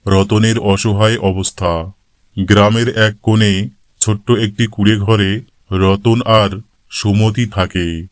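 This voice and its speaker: A man's voice: fairly low pitch, fairly even intonation, slow, long pauses, dry recording, studio quality. A man reading scripted narration for a cartoon moral story as the storyteller.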